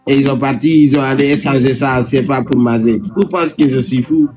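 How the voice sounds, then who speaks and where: A man's voice: medium pitch, fairly even intonation, fairly quick, darkish tone. West African man, stumbling indignant French. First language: Fula. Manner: stumbling, repetitive, indignant complaining. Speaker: comedy vlog host. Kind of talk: casual comic chat.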